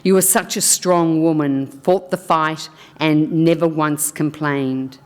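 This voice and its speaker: Low voiced lady reading a funeral tribute slow and careful, big hall echo. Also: steady tone, smooth. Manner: slow, measured and solemn delivery. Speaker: funeral celebrant. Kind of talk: reading a eulogy aloud. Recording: long hall reverb.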